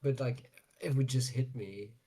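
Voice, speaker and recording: A man's voice: mid-range voice, measured pace, mellow, breathy edge. A man co-hosting a podcast on a headset mic, chatting casually and throwing in dry, teasing quips. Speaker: podcast co-host.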